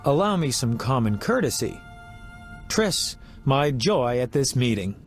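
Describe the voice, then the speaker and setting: An actor playing a self-important bard in a video game, speaking in a theatrical, wordy and courtly manner. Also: male voice, mid-range voice, sing-song, a little slow, soft background music. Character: dramatic, flowery, self-important male bard.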